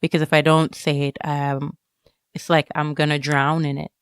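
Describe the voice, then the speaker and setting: A woman's voice: deep voice, level tone, normal speed, smooth, no echo. A woman speaking as a guest in a candid personal interview, reflective and often searching for words as she goes. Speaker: interview guest, student writer.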